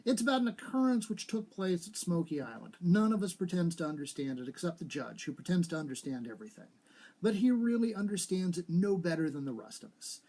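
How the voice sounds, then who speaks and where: A man's voice: high voice, big pitch swings, brisk, dark tone. A man giving a live reading of a short story, narrating as he goes. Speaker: story reader.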